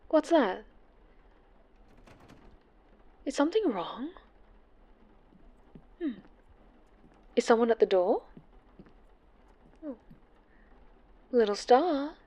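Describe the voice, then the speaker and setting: A woman playing an obsessive, possessive winged superhero in a horror ASMR roleplay, sweetly menacing and taunting, often slipping into breathy whispers. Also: on the high side, very animated, slow, pauses often, darkish tone, highs cut off, heavily acted. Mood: mocking, sweet.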